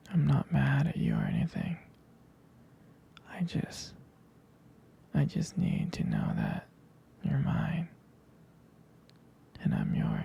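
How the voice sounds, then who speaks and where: A man playing a jealous, protective, tender boyfriend in an intimate ASMR roleplay, speaking softly and hesitantly in a breathy, husky, gravelly whisper. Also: fairly high pitch, lo-fi sound, heavily acted. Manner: slow, hesitant, soft-spoken.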